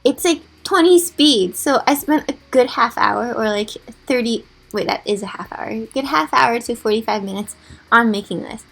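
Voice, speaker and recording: A young woman's raspy, slightly high voice with a gravelly edge. She chats in a casual, rambling way and pokes fun at herself, peppering her talk with fillers like "like". It is a relaxed gaming vlogger voice-over. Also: normal speed. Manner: chatty, self-deprecating, lots of "like" fillers. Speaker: gaming YouTuber. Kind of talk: casual voice-over of a drawing timelapse.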